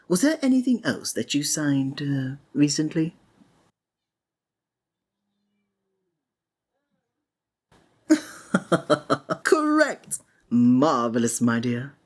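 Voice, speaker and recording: A woman playing a flamboyant, sinister male stage magician in an ASMR roleplay, with breathy, honeyed, flowery theatrical speech that often drops into a whisper. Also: extremely low pitch, a little mellow, exaggerated voice. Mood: patient, teasing, then delighted, grandiose.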